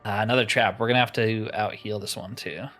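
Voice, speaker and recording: A man's voice: lowish voice, fairly even intonation, quick pace, bright, forceful tone, soft background music. A man giving casual let's-play commentary, musing aloud with wry asides as he plays. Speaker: gamer narrating his playthrough.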